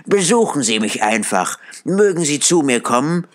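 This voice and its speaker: A man voicing a joyful, reformed old miser in a high, giddy voice. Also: very animated, moderate pace. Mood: overjoyed.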